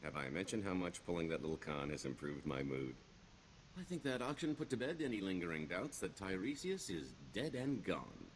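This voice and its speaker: An actor playing a roguish, glib smuggler and con artist in a video game, with a wry, theatrical delivery. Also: male voice, low-pitched, very animated, a little fast, slight background noise. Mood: pleased, scheming. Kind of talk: video game character dialogue.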